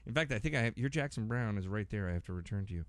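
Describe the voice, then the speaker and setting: A man's voice: on the low side, lively intonation, racing. A middle-aged American man hosting a podcast in a casual, rambling, self-deprecating way. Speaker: podcast host. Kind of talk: casual chat.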